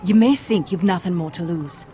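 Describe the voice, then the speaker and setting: Low, mellow, guarded young noblewoman, acted by a woman. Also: a little hiss or hum, close to an everyday voice. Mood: urgent, grave. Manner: measured, grave, formal phrasing. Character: guarded, earnest young noblewoman warning a childhood friend. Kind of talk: video game drama voice acting.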